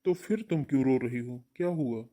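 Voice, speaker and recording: A man playing a gentle, worried middle-aged father in a cartoon family melodrama, soothing and questioning. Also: mid-range voice, a little fast, lightly acted. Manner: concerned, soothing. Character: concerned, gentle middle-aged father comforting his child.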